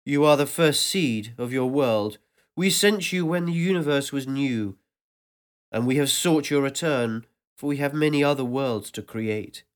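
A man voicing a vast, ancient cosmic presence that speaks calmly and solemnly. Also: medium pitch, measured pace, natural voice.

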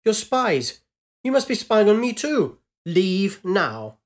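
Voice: medium pitch, animated, moderate pace, close to an everyday voice. A narrator voicing a bullying, paranoid, self-important political leader who speaks in indignant, accusing outbursts for a short story. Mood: suspicious, accusing. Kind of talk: acted dialogue in a short story reading.

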